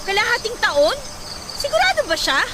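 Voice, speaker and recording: A woman's voice: very high voice, big pitch swings, moderate pace, very noisy. A woman acting as a devoted, stubborn younger daughter in a Tagalog family radio drama, speaking expressively over music. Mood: defiant, upset.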